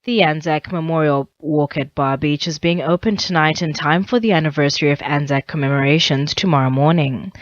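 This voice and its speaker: A woman's voice: deep voice, no room sound. A woman newsreader delivers a scripted bulletin. The sound is very dry and close, with a slightly dull, lo-fi broadcast tone. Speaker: news reader. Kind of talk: scripted radio news bulletin. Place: radio studio.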